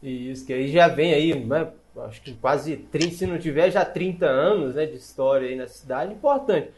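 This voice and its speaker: A man's voice: on the high side, normal speed, a little mellow. A man hosting an interview, asking long, rambling questions dotted with "né". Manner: rambling, with many "né" and restarts. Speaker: interview host.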